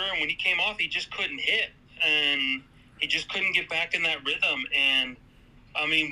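A man calling in as a fan guest to a live sports stream, talking in a quick, wry way. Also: on the high side, bright, forceful tone, rough recording. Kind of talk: casual fan talk.

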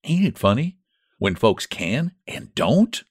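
A man's voice: mid-range voice, big pitch swings, takes it slowly, slightly rough, no room sound, studio quality, exaggerated voice. A man voicing a shy, humble young housemaid who talks in homely, ungrammatical speech. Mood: wistful. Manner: homely rural grammar, earnest and simple.

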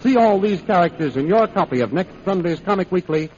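Higher-voiced man, vintage radio announcer. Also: lively intonation, fairly quick, a little mellow. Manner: theatrical, emphatic, scripted. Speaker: announcer and narrator. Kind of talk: dramatic 1930s radio announcing. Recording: muffled, noisy vintage radio recording.

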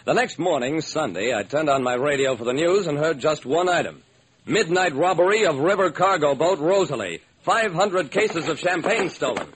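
A man's voice: a little high, lively intonation, fairly bright tone, breathy edge. An actor playing a shrewd, wry private detective who narrates his own case in an old-time radio drama. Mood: wry, brisk. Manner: wry, brisk storytelling. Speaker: narrator and lead character. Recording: slightly noisy old radio recording.

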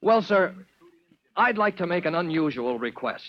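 A man's voice: high-pitched, sing-song, moderate pace. An actor playing a modest, eager young rookie fireman in a 1940s radio drama. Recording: dull old radio recording.

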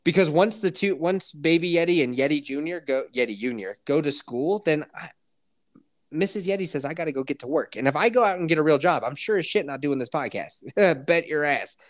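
Low-voiced, animated ranting podcast host. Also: female voice, fairly quick. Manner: profane, ranting, laughs loudly. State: stuffy from a cold. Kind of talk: casual podcast chat.

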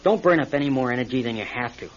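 A man's voice: mid-range voice, expressive, rapid-fire, lightly acted. An American man acting in a radio drama as an injured, practical, defensive pilot stranded after a crash. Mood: weary, reassuring. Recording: dull, noisy old radio recording.

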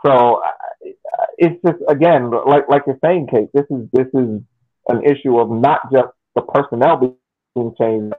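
A man's voice: medium pitch, measured pace, soft background music. A man on a casual sports podcast panel, repeating words and phrases as he makes his point over a tinny line. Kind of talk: casual soccer discussion. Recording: tinny phone-like muffled line.

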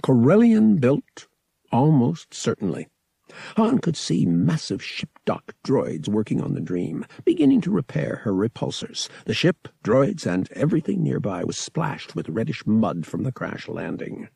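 A man reading a science fiction novel aloud as an audiobook narrator, with expressive storytelling. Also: medium pitch, unhurried, creaky, slightly rough, dry recording. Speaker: audiobook reader.

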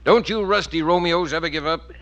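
An actor playing a gruff, slangy, sarcastic American park policeman in an old radio comedy. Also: fairly high pitch, a little fast, fairly bright tone. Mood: weary sarcasm. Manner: sardonic, slangy. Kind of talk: 1940s radio sitcom acting. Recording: muffled, hissy old radio recording.

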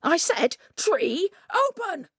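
A woman's voice: high voice, big pitch swings, slow, no room sound, studio quality, voice pushed up, heavily acted. A woman storyteller voicing a male trickster coyote, half man and half dog, exclaiming and wheedling melodramatically. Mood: frustrated, stuck. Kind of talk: live oral storytelling.

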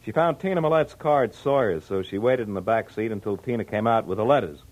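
Shrewd American cop male actor, lower register, plainspoken, conversational, 1940s radio crime drama. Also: fast, a little mellow, close to an everyday voice, rough recording. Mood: explaining, brisk. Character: male police detective lieutenant, plainspoken, shrewd.

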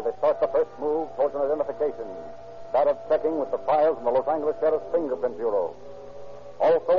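Dramatic radio narrator guy, muffled old recording, music underneath. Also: mid-range voice. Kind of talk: scripted 1940s radio narration.

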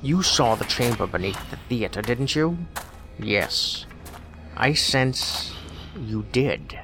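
Villain read,: slow, gravelly, cold and measured, voice rising and falling. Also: male voice, medium pitch, lively intonation, over music. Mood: menacing. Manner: cold, measured, philosophical menace. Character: calm menacing man who appears from nowhere. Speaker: voice actor. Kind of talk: audio drama acting.

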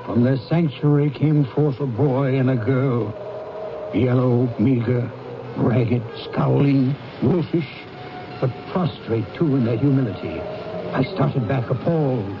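An actor playing a frightened, repentant old miser in a theatrical 1970s radio drama, speaking formal, halting lines. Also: male voice, mid-range voice, noisy background, close to an everyday voice. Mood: awed dread. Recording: dull 1970s radio recording with music.

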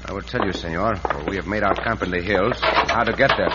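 A reverberant, muffled and noisy old radio drama presents a man acting a clever Mexican adventurer. His accented voice is deep, courteous and in command. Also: brisk, natural voice. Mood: resolute. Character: calm, gallant, clever Mexican adventurer hero. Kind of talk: 1950s radio western acting.

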